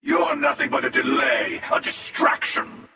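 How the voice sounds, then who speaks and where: A man voicing a jaded, menacing, world-weary older space hunter for a video game, with a gravelly, sardonic and deliberate delivery. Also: extremely high pitch, wildly swooping pitch, pushed and bright, full character voice. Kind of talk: video game character voice lines. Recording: cavernous echo and long reverb, distant.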